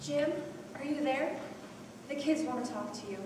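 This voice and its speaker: A woman's voice: sits high, moderate pace, close to an everyday voice. Woman acting worried mom and wife in a play, far from mic, echoey and noisy. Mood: concerned. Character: anxious wife and mother calling her husband. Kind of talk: stage drama dialogue. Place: on stage in a large hall. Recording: echoey hall from far off, clear noise.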